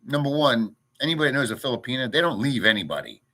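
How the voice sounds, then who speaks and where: A man's voice: mid-range voice, very animated, quick pace, bright, forceful tone. Blunt, crude man ranting on stream. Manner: blunt, crude, rambling, reads chat aloud. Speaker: livestream host. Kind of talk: casual ranting livestream chat. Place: home in a rural province.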